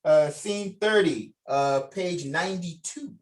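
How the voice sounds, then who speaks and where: Distant, higher-voiced man directing a table read. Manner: halting, repeats phrases as he directs. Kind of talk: casual, jokey group direction. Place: remote video call. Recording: distant-sounding video call audio.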